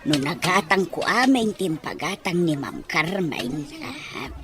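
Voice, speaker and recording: An actress with a Filipino accent playing a naive, exclamatory middle-aged household helper in an audio drama. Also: female voice, lowish voice, animated, slow pace, a crowd in the background. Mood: surprised, excited. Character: naive, excitable household helper, an auntie figure. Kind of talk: Ilocano audio drama acting.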